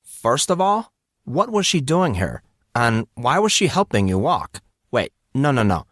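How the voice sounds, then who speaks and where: A man voicing an excitable, nosy teenage boy with a pestering, dramatic manner in an audiobook. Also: mid-range voice, a little slow, dry recording, clean studio sound, pitched above the performer's own voice. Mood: indignant. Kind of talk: audiobook character voice.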